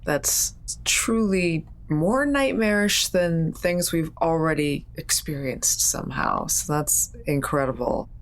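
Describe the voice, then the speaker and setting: Low-voiced, unhurried woman playing a tabletop game. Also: big pitch swings. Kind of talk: casual in-character improvised chat.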